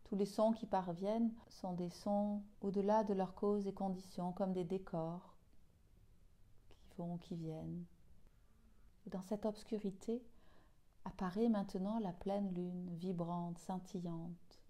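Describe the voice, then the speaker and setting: A woman leading a guided yoga nidra meditation in a soothing, hushed, unhurried way with long pauses. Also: mid-range voice, fairly even intonation.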